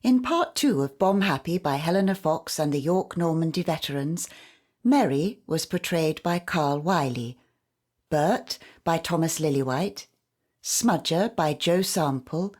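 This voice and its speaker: A woman announcer with a deep voice for her sex. She reads scripted credits at the end of an audio drama. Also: darkish tone.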